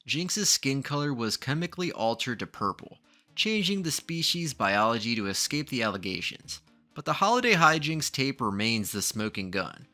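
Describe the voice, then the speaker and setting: A man's voice: mid-range voice, sharp tone. A man reading scripted documentary narration with dramatic, ominous emphasis.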